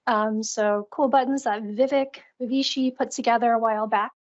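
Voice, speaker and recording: A woman's voice: on the high side, steady tone, moderate pace. A woman co-hosting an online call, presenting a live screen-share demo in a casual, upbeat, chatty way. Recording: dull, lo-fi online call audio.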